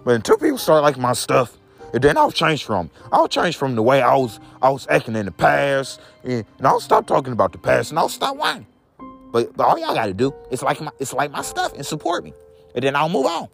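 A young man with a deep voice, talking in a rambling, repetitive vlog rant, whose voice jumps high when he gets upset. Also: wildly swooping pitch, fairly quick, gravelly, soft background music. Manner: repeats each phrase, voice leaps high when upset. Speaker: vlogger.

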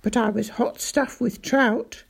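A woman voicing a small elderly country doctor, excitable and easily outraged, in a storybook reading. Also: on the high side, slow, gravelly, slightly rough, exaggerated voice. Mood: genial. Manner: excitable, grave then outraged.

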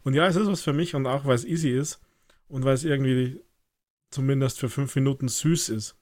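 A man's voice: medium pitch, brisk, a little mellow, dry recording. A German-speaking man co-hosting a casual gaming podcast, easygoing and dry in his replies. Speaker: podcast co-host.

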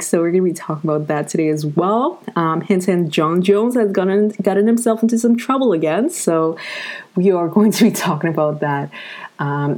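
A woman's voice: on the low side, a little mellow. A young woman in her twenties hosting a show, chatting casually to viewers with many fillers while coming down with a cold. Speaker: host.

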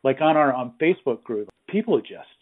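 Husky man interviewing over a phone line. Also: mid-range voice, expressive. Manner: effusive, informal, halting phrasing. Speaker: interviewer, hands-on tradesman. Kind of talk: casual interview chat. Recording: husky-sounding, thin phone-line audio.

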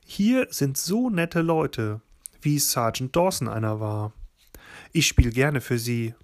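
A man's voice: medium pitch, sing-song, slow. A man reading a story aloud, voicing a cheerful elderly male street accordion player who talks in a friendly, folksy way.

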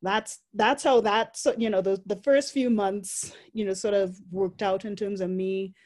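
A woman's voice: mid-range voice, steady tone, measured pace, darkish tone. A woman in her forties from Trinidad, with a Trinidadian accent, telling her story as an interview guest, reflective and laughing often between fillers.

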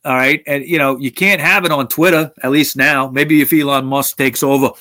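A man's voice: medium pitch, fairly even intonation, normal speed, sharp tone, no room sound, studio quality. A man hosting a talk show, speaking bluntly with frequent swearing and restarts. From United States. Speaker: podcast host. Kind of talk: opinionated casual talk.

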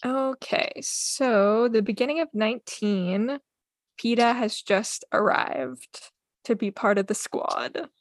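A woman's voice: a little high, takes it slowly, no echo. A woman co-hosting a podcast, talking casually as she discusses a book. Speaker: podcast co-host.

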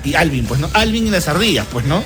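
A man's voice: fairly high pitch, big pitch swings, quick pace. A man hosting a casual radio chat, talkative, often repeating himself and tagging his phrases with little questions. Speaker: radio show host. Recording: music playing behind the voice. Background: music bed.

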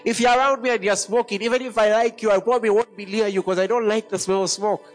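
A high-pitched man delivers a lively sermon, laughing often as he moves between points. Soft music plays faintly behind him. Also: fast talker. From Zambia. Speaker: preacher.

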